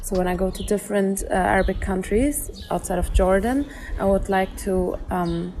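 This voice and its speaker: A young woman from Germany chatting in an interview, thinking aloud with many pauses and fillers. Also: mid-range voice, fairly even intonation, a little mellow, faint music behind. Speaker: interviewee, app user. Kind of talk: casual interview chat.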